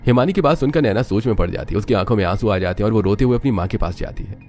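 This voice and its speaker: A man's voice: lowish voice, animated, rapid-fire, a little mellow. A man narrating a scripted dramatic story, with music underneath.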